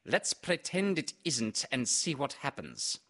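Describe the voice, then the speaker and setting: A man's voice: mid-range voice, very animated, unhurried, fairly bright tone, voice pushed up, full character voice. A man voicing a brusque, sardonic rabbit with a dry, careless manner in a children's storybook. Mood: dry, teasing.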